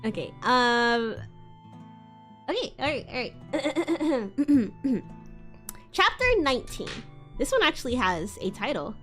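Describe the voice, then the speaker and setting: A woman streamer doing a live dramatic reading of fan fiction in an expressive, theatrical way. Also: sits high, takes it slowly, pauses often, soft background music. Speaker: livestream host.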